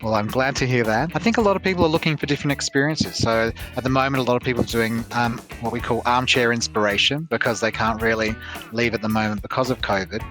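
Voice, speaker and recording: A man from England with an accent mixing English, Australian and American sounds, speaking in a relaxed and upbeat way as a tourism guest. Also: mid-range voice, quick pace, soft background music. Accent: mixed English, Australian and American. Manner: relaxed, upbeat, some hesitation.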